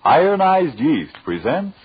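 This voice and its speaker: Husky 1930s radio announcer man. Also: a little high, big pitch swings, unhurried. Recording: muffled old 1930s radio recording.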